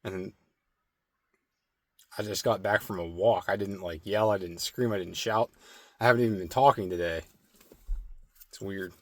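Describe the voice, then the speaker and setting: A man giving a slow, meditative spirit card reading with a strained, slightly hoarse throat and many filler words. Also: low-pitched. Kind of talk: intuitive oracle card reading.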